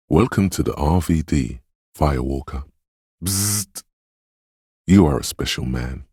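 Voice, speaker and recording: Extremely deep, husky, eerie inner voice. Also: male voice, darkish tone, no echo, clean studio sound, exaggerated voice. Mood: conspiratorial, mystical. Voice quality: very deep, gravelly rasp. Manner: ominous, cryptic, theatrical, intimate. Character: eerie, mystical inner voice of a detective's intuition. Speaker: video game voice actor. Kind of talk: dramatic second-person game narration.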